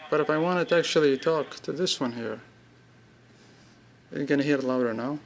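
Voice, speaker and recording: A man giving an informal, unscripted product demonstration, speaking haltingly in short pieced-together bursts. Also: fairly high pitch, a little hiss or hum, low-quality recording. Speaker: app demonstrator.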